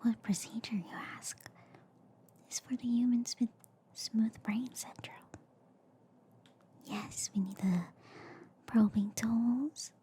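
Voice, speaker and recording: A woman voicing a quirky, reassuring alien girl doctor in a soft ASMR roleplay, mostly in a hushed, breathy whisper with a soothing, chatty manner. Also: fairly high pitch, fairly even intonation, a little hiss or hum. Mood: casual, clinical. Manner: slow, chatty, soothing, repeating filler words. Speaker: ASMR performer.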